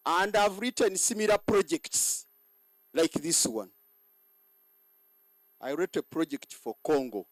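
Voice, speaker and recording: A hoarse man giving a boastful ceremony speech as general manager, halting and repeating words. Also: mid-range voice, no echo, low-quality recording.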